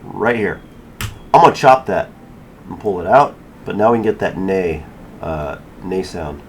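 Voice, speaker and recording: A man giving a casual software tutorial, informally thinking aloud as he works through each step. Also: deep voice, animated, measured pace, slight background noise. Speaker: tutorial creator.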